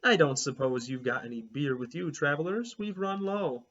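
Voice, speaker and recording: A man's voice: on the high side, normal speed, fairly bright tone. A man doing a blunt, homesick pilgrim woman. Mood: wistful. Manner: old-fashioned, matter-of-fact, incredulous. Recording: slightly dull lo-fi recording.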